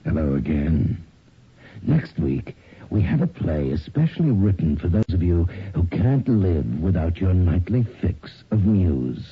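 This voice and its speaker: Thin old radio sound, ominous horror-show host, deep husky voice, slow dramatic delivery. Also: male voice, big pitch swings, full character voice. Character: sinister, unhurried male horror-anthology host. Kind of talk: theatrical 1980s radio horror hosting. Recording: muffled, phone-like old radio recording.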